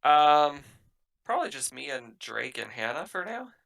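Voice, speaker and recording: A man's voice: a little high, big pitch swings, moderate pace, vocal fry, fairly bright tone. A man playing a tabletop roleplaying game, talking casually and describing what his character does.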